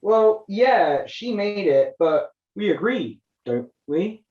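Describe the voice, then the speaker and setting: A woman voices an opinionated puppet follower in a comedy. The delivery is slow and varied in pitch, with dull, echoey call sound. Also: expressive. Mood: insistent. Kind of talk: scripted puppet comedy dialogue. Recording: roomy, slightly dull online-call sound.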